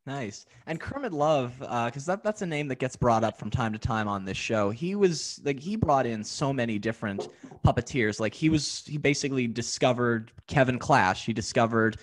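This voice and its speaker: A man's voice: mid-range voice, animated, normal speed. A young man hosting an interview podcast, friendly and a bit hesitant with many fillers. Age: young adult. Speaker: podcast host. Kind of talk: casual interview chat.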